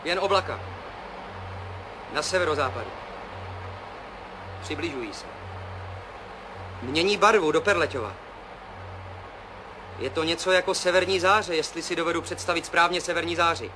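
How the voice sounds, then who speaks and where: An actor playing an awed, rambling test pilot in a 1970s radio play, musing in halting short phrases. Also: male voice, on the high side, close to an everyday voice. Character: male test pilot, awed, rambling, seeing strange visions. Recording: noisy 1970s radio drama, engine drone.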